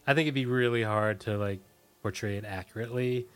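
A middle-aged man from Washington DC answering casually as an interview guest, tentative and halting. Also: fairly low pitch, clean tone, faint music behind. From Washington DC, United States. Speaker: interview guest, punk musician.

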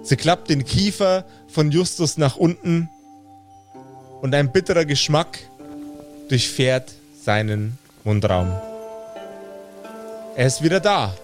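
A man's voice: a little high, lively intonation, slow, long pauses. A man working as game master, improvising the narration of a tabletop role-playing game. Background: background music.

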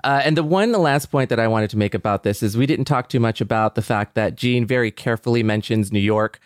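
A man's voice: medium pitch, quick pace, clean tone. A man with a mid-range voice co-hosts a podcast. He speaks conversationally, in a dry, echo-free recording. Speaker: podcast co-host. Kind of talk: casual podcast talk and sign-off announcements.

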